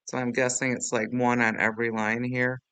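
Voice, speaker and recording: Bright, clear-voiced man giving a casual tutorial. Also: medium pitch, little pitch movement, a little fast, no room sound. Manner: informal, rambling, self-deprecating. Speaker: tutorial host. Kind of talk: casual screen-recording software walkthrough.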